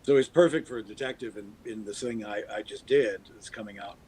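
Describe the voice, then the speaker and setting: Husky middle-aged man reminiscing. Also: fairly low pitch, a little fast, dark tone, low-quality recording. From US East Coast, Baltimore. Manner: reminiscing, thoughtful. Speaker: actor and radio drama guest. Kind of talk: casual chat.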